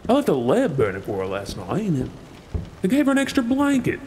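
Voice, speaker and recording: Man acting a swaggering pirate captain, very expressive. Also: high voice, fairly quick. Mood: conflicted, brooding. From Australia. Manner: commanding, swaggering, sardonic. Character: gruff, menacing male pirate captain, secretly soft-hearted. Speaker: ASMR role-play performer. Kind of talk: dramatic audio role play. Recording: sound effects and ambient noise behind. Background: ship ambience sound effects.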